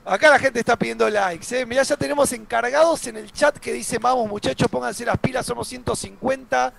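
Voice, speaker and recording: A man with an Argentine accent co-hosting a live stream, reading viewer questions and explaining software fixes in a gravelly voice. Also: extremely high pitch, fairly quick, a little hiss or hum. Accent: Argentine Spanish. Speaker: co-host reading chat. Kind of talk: casual live-stream chat and tech answers.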